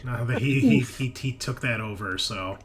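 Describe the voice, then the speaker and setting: A man's voice: mid-range voice, normal speed, crowd noise. A man running a tabletop horror game as game master, narrating with dramatic suspense. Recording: music behind the voice. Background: background music.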